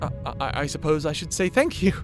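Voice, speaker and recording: A man's voice: fairly high pitch, very animated, a little fast, over music. A man playing a timid, awkward young wizard in a fantasy audio roleplay, earnest and apologetic, with a hesitant, stammering delivery. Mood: earnest, pleading.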